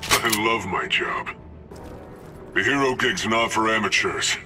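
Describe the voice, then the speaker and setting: A man's voice: lowish voice, sing-song, unhurried, music in the background. A man playing a wisecracking hired killer in a video game, dry, smug and threatening. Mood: cocky, threatening. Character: cocky male mercenary gunman, wisecracking hired killer. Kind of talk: video game character acting.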